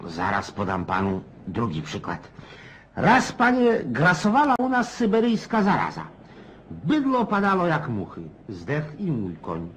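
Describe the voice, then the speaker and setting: An actor playing a garrulous, cynical old Cossack in a radio play, rough-edged and folksy, prodding his listener with questions. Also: mid-range voice, huge pitch swings, measured pace, a bit bright, a little hiss or hum. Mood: animated storytelling.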